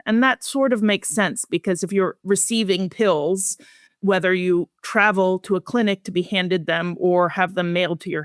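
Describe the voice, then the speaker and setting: A woman speaking as an expert guest in an interview, explaining research findings in a measured, serious, explanatory way. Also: on the low side, no echo, studio quality.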